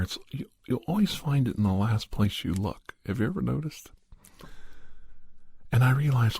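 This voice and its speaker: A man playing a serious doctor, telling a horror story in a husky voice with deliberate, halting pauses for an ASMR podcast. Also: on the low side, darkish tone, dry recording. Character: male doctor recounting a creature hunt, measured and serious. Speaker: storyteller narrator.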